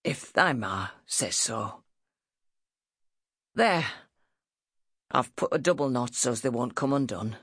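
A woman voicing an ailing, gentle father, halting and subdued. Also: expressive, no room sound, clean studio sound, full character voice. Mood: weary, gentle. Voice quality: husky. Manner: halting, subdued, low-voiced.

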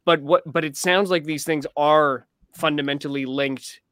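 Higher-pitched man with a dry sound. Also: fairly even intonation, moderate pace, fairly bright tone, smooth, dry recording. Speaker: interviewer on a talk show. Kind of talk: conversational questioning.

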